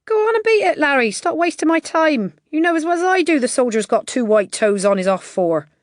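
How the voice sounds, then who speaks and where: A curt, impatient man who runs the dog races, put on by a woman reading aloud. He barks his words high and loud, waving people off. The sound is dry and close. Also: sing-song, fairly quick, no room sound. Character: brisk dismissive male dog-race organiser.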